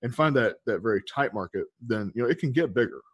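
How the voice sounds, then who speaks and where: A man hosting an interview, asking long rambling questions full of fillers and quick affirmations. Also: mid-range voice, lively intonation, husky, no echo. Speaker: podcast host, interviewer.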